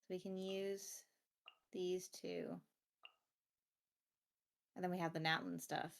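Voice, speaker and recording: A woman's voice: lowish voice, flat delivery, takes it slowly, gravelly, slight background noise. A woman in casual gaming chat, thinking aloud in a tentative way as she goes.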